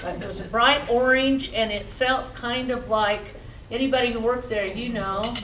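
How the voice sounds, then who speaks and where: An older woman in her seventies with a loud voice tells stories of her old job in a chatty, animated way. She is speaking into a handheld mic in a meeting room. The camera sits across the room, so her voice sounds distant and roomy, with a faint hiss underneath. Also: on the high side, moderate pace. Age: 70s. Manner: chatty, animated storyteller with gestures. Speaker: guest speaker. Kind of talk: recounting memories to an audience. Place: community museum meeting room, indoors. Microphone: handheld mic, far camera.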